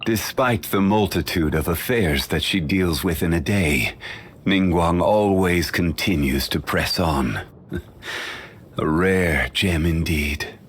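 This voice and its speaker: A deep, ancient, composed sage. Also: male voice, a little hiss or hum. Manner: measured, formal and unhurried. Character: ancient composed male sage, calm and dignified. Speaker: game character voice actor. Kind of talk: studio game voice lines.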